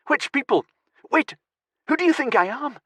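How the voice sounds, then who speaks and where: Here is a frightened, enraged young hostage, played by a man reading the story, whose voice jumps high into strained shouts and curses. The audiobook recording is close and dry, with no room around it. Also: expressive, moderate pace, no echo. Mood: panicked, furious. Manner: panicked shouting and swearing. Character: terrified, furious young man held captive.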